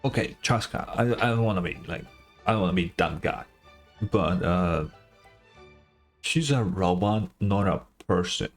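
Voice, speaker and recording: A man's voice: deep voice, unhurried. A man giving casual, rambling, informal game reaction commentary with some swearing. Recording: game music faintly behind the voice. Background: game music and dialogue.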